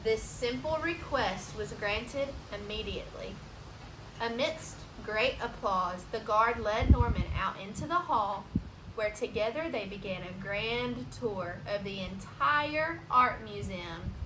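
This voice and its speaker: A woman reading a children's storybook aloud expressively, pausing for asides and questions to young listeners. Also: medium pitch, expressive. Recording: roomy echo, noticeable background hiss.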